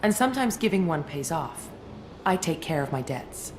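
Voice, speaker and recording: A wry, slightly nervous female scientist in a sci-fi game. Her voice sits a little low and stays conversational, with noisy ambience behind it. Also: very animated, normal speed. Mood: defensive. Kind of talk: video game acting.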